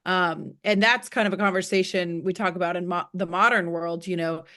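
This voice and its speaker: A woman's voice: on the low side, steady tone, moderate pace, fairly bright tone. A woman interviewing a guest in an informal, loosely phrased conversational way.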